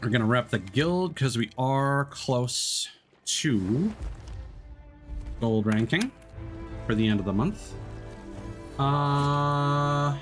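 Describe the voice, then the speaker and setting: A young man talks casually into a desk microphone while live-streaming from home. He rambles, loses his train of thought, and drops in swear words and fillers along the way. His voice sits at an ordinary middle pitch for a man. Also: animated, slow, pauses often, soft background music. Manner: rambling, scatterbrained, many fillers and restarts.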